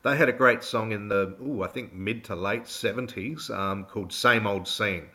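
A man with an Australian accent co-hosting a radio show in a studio, slightly croaky in a relaxed, rambling chat. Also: on the low side, moderate pace. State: hoarse. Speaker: radio co-host.

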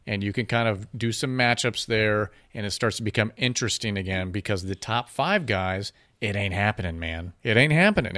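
Low-voiced man co-hosting a boxing podcast. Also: a bit bright, no echo, studio quality. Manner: promotional, plugs sponsors and events. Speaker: podcast co-host. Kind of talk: casual podcast chat. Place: podcast room in a boxing gym. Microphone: studio mic with headphones.

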